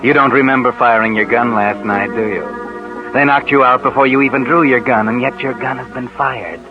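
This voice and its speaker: Casting: man as insinuating American male suspense narrator, mid-pitched, 1940s radio, muffled recording with loud music behind. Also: steady tone, fairly quick, natural voice. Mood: ominous, needling. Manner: knowing, insinuating. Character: sardonic, ominous male narrator needling the suspect as his conscience.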